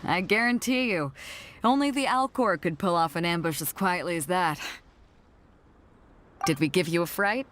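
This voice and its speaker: An actress playing a bold, easygoing ship captain in a video game, talking in an assured, casual, protective way. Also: female voice, lowish voice, normal speed, pauses often, noisy background, close to an everyday voice. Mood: confident, friendly.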